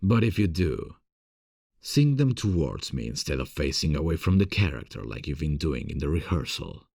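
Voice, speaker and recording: A man playing a gentle, earnest theatre director in love, in an intimate ASMR romance roleplay, speaking in a tender, confiding, hesitant way with a husky voice. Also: a bass voice, very animated, moderate pace, no echo, clean studio sound, exaggerated voice. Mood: instructive, encouraging.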